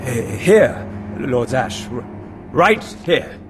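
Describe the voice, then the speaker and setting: A man's voice: medium pitch, big pitch swings, very slow, noisy background. A man playing an arrogant, easily panicked young Sith acolyte in a video game, with an emphatic, petulant manner. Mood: cowed. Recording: long reverb, loud background music.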